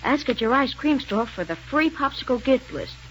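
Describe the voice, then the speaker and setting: A performer playing a chipper boy mascot pitching prizes to children in a 1930s radio advertisement. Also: lively intonation, moderate pace, fairly bright tone. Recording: noisy 1930s radio recording.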